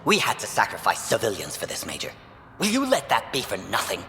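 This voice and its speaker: An actor playing a proud, calculating alien soldier and traitor in a video game, arguing with grandiose persuasion. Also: male voice, lowish voice, huge pitch swings, a little fast, creaky, bright, forceful tone, in a large hall, a little hiss or hum, heavily acted. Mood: bargaining, intense. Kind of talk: dramatic video game dialogue.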